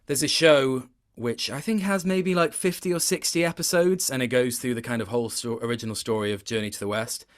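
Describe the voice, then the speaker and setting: A man's voice: mid-range voice, fairly quick, hardly any pauses. A man speaking casually as an interviewed voice actor, thoughtful and hesitant, often circling back to rephrase what he is saying.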